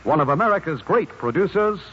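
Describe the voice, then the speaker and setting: A man's voice: high voice, animated, a little fast. A man working as an announcer, reading a scripted 1950s radio commercial. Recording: muffled, noisy 1950s radio.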